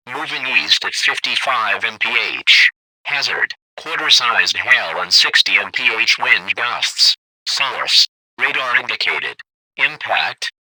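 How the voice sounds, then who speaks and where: A man's voice: mid-range voice, bright, forceful tone, no room sound, low-quality recording. A synthetic-sounding man reading scripted emergency weather warnings in a formal, impersonal, clipped way. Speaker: emergency alert announcer.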